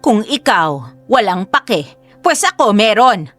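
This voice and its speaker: Woman playing a domineering middle-aged mother, scolding, melodramatic, animated, faint music behind. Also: medium pitch, takes it slowly, exaggerated voice. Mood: indignant. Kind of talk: Tagalog radio drama acting.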